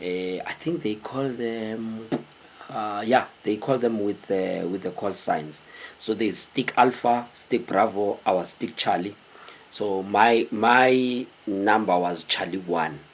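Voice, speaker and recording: A South African man in his thirties tells stories casually to the camera in an animated, conversational way, often putting questions to his viewers. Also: on the low side, slow, slight background noise. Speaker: host telling stories of his military service. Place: small indoor room with cloth backdrop.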